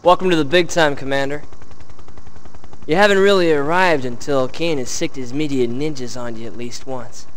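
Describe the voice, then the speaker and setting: An actor playing a brash, wisecracking field officer in a video game briefing, breezy and informal. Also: male voice, a little high, slight background noise, lightly acted.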